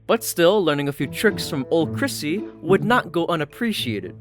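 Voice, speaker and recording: A man's voice: on the high side, big pitch swings, moderate pace. A man reading scripted fiction as a story narrator, with music playing behind him. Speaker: audiobook-style story narrator.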